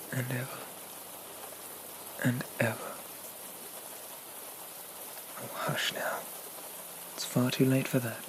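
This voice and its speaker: Man acting a slow, soft-spoken possessive demon prince. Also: mid-range voice, lightly acted. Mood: possessive, menacing, then haughty, irritated. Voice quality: soft, breathy, often whispered. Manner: slow, coaxing, regal, condescending, with lingering pauses. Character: possessive, haughty young male demon prince of dreams, sweetly menacing. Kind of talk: ASMR roleplay, soft half-whispered dramatic monologue. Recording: noticeable rain-like background noise.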